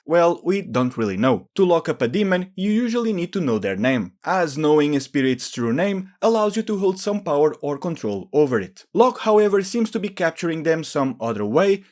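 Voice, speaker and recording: A man's voice: fairly high pitch, a little mellow. A man giving scripted explainer narration as a video host, in a matter-of-fact, explanatory way.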